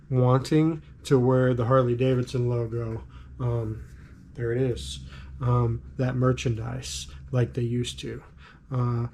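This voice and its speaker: A middle-aged man with a raspy voice and a slight cold, musing casually to camera as a vlogger, with frequent ums. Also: medium pitch, a little mellow, a little hiss or hum. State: has a cold.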